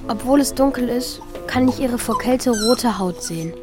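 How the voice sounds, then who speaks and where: A woman's voice: on the high side, normal speed, music in the background, lightly acted. A woman playing a thoughtful child who narrates their own story in a scripted audio drama. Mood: observant.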